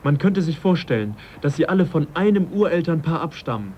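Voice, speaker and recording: Thoughtful naturalist, slightly muffled sound. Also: male voice, a little high, sing-song. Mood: pensive, curious. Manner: reflective, tentative, measured. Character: thoughtful, questioning gentleman naturalist, polite but persistent. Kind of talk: German radio drama acting. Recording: slightly dull old radio recording, faint noise.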